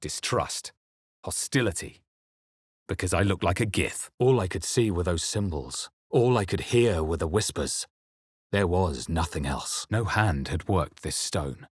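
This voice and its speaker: A man voicing a wry, watchful fantasy adventurer in a video game, with a measured, dramatic delivery. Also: deep voice, animated, breathy edge, no echo, studio quality, full character voice. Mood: somber. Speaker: player character voice in a video game. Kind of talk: scripted game narration, barks and spell incantations.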